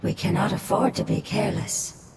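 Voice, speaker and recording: A woman's voice: mid-range voice, normal speed, creaky, noisy background, lightly acted. An actress playing a cautious adult woman, earnest and wary. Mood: serious. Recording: echoey, distant, loud game music.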